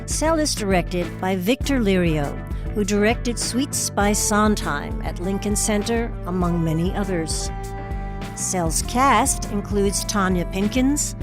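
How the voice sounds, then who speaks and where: A woman hosting a podcast, reading scripted announcements and narration. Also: medium pitch. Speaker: podcast host.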